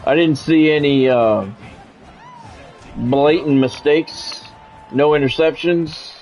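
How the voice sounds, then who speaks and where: Higher-voiced man calling a football video game. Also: takes it slowly, a little mellow, soft background music. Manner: conversational, drifting between announcer calls and musing. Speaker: streamer and play-by-play commentator. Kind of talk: casual sports game commentary mixed with chat.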